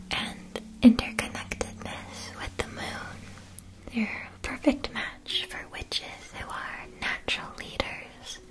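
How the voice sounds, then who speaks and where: Woman as a whispering, gentle witch-world shopkeeper. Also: fairly high pitch, rough recording. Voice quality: breathy whisper. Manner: slow, soft, polite, attentive. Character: gentle, attentive female familiar-shop keeper in witch world. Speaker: ASMR roleplay performer. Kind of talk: ASMR roleplay, whispered scripted explanation.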